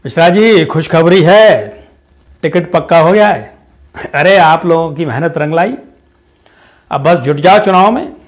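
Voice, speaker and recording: A man's voice: animated, unhurried, pauses often. Man playing a proud, ruthless politician father. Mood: jovial. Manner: booming and jovial with party workers, dropping to a hushed, cold undertone with family. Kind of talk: dramatic audition monologue.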